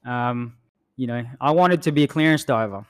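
A man who grew up in the Philippines, co-hosting a podcast in a laid-back, self-deprecating way with many pauses and um's. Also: medium pitch, smooth. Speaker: podcast co-host. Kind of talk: casual storytelling chat.

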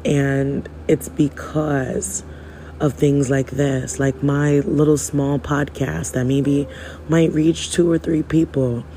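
A man, hoarse from losing his voice, giving a rambling, preachy spiritual podcast monologue in casual slang. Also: fairly high pitch, level tone, slow, dark tone, a little hiss or hum, poor audio.

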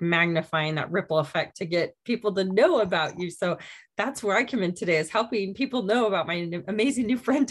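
A woman hosting a casual interview, enthusiastic and affirming toward her guest. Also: fairly low pitch. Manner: enthusiastic, warm, affirming, laughs often. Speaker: interview host.

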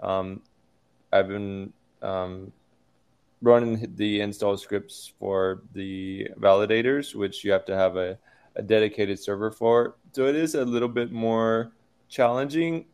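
A man on a podcast as a guest developer, giving a halting technical explanation full of ums. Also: fairly low pitch, fairly even intonation, a little mellow, clean tone. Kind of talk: casual podcast chat.

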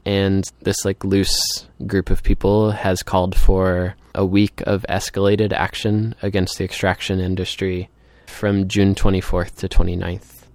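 A man's voice: deep voice, level tone, a little slow, hardly any pauses, a little mellow, no room sound. A man speaking informally as an activist in an interview, thinking aloud and peppering his sentences with "like". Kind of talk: casual spoken interview.

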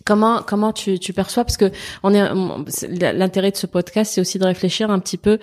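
Soft-spoken woman interviewer. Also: mid-range voice, steady tone, fairly quick. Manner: soft-spoken, searching for words. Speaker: podcast host. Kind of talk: interview questions.

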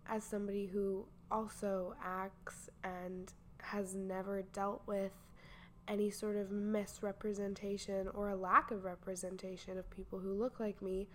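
A woman reading scripted narration as the host, measured and reflective. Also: medium pitch, flat delivery, a little hiss or hum. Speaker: narrator and interviewer.

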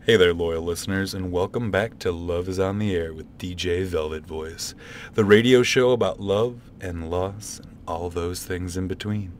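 A man playing a smooth, self-assured late-night love-advice radio DJ in a comedy radio play, with a theatrical, pompous delivery. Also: deep voice, moderate pace, soft background music, natural voice. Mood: suave. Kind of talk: comedy radio play in old-time radio style.

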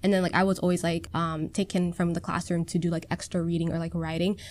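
Low-voiced woman in her twenties. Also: fast talker. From Minnesota, USA. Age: 20s. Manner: relaxed, hesitant, many "um" and "like". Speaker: podcast guest. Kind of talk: casual chat.

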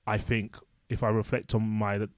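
A man co-hosting a casual chat, a social worker speaking in a reflective, measured way. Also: lowish voice, little pitch movement, no echo. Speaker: social worker, podcast co-host.

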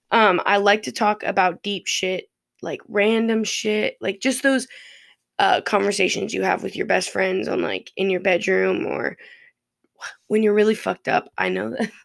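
A podcast host from Ohio with a raspy, gravelly voice that swings widely up and down in pitch. They ramble loosely and curse freely, drifting from one topic to the next. The recording is dry and close, with no room sound. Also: fairly bright tone, no room sound. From Ohio, USA. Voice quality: raspy, fairly deep voice. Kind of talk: rambling casual monologue.

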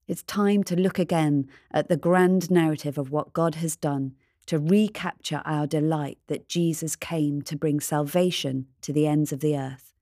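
A woman's voice: low voice, mellow, dry recording, clean studio sound. A woman reading a scripted devotional narration. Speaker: devotional reader.

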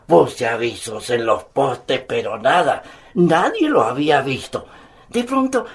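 Slow, creaky-voiced devout old grandfather. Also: mid-range voice, heavily acted. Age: older. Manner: earnest, hesitant openings, measured storytelling. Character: devout elderly grandfather, patient, earnest, emotional storyteller. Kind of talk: Spanish-language radio drama acting.

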